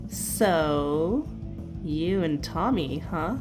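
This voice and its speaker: A woman's voice: sits low, expressive, drawn-out words, music in the background, heavily acted. A young woman playing a frank, teasing superhero girl in an audio drama, slangy and straight-talking. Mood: probing.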